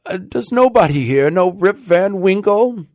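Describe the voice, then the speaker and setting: A bewildered old man out of a storybook, acted out by a male narrator. He cries out in despair and confusion, his voice raised high and swinging widely. The sound is close and dry. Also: sing-song, unhurried, darkish tone, dry recording. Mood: desperate, bewildered. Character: bewildered, distraught gray-bearded old man. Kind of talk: audiobook character voice.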